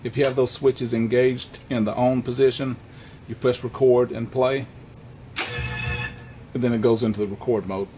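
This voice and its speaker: A man presenting an unscripted hands-on equipment walkthrough in a plainspoken, halting way, correcting himself as he goes. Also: mid-range voice, fairly even intonation, dark tone, slight background noise.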